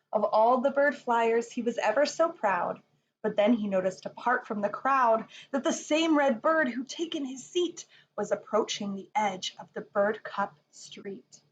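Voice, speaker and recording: A woman reading a rhyming children's picture book aloud in an expressive storytelling manner. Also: fairly high pitch, highs cut off. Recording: faint background noise.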